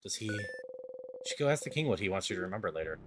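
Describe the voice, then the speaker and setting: Guy streaming a game, lowish voice, laid-back, music in the background. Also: rapid-fire. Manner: relaxed, thoughtful, drifts into soft narration. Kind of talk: casual gameplay chat and dramatic narration.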